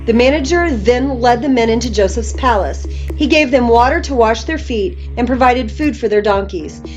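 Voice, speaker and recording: Woman reading a bible story out loud, dramatic, highish voice, soft music behind her. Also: expressive, normal speed, dull audio. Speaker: narrator reading scripture aloud.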